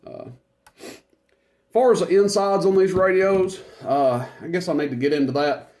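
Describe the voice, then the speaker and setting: A man with a loud voice, casually demonstrating a CB radio at his workbench, talking in a relaxed, rambling way with lots of fillers. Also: high voice, a little slow. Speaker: CB radio reviewer.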